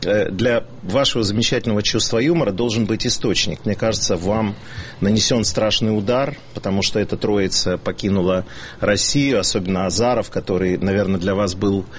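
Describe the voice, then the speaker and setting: A man co-hosting a live radio talk show, ironic and a bit halting. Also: lowish voice, a little hiss or hum. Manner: ironic, drawn-out, hesitant. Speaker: radio co-host.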